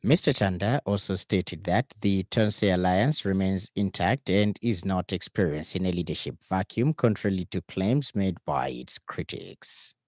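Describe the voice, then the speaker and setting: A man with a Zambian accent reading a formal, scripted radio news bulletin in a measured way. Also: low voice, no room sound, studio quality.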